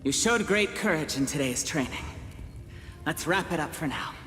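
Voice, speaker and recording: A woman voicing a proud, stern warrior mother in a video game, commanding, formal and deliberate. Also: sits low, expressive, fairly bright tone. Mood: proud, firm. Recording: echoing hall, long reverb, faint music.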